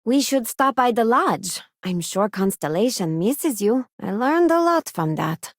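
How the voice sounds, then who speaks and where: A woman's voice: fairly high pitch, big pitch swings, measured pace, dry recording, studio quality. A woman playing a principled, self-assured working-class spacefarer who serves as a crew companion in a video game. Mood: friendly, upbeat. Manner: earnest, plain-spoken, self-assured.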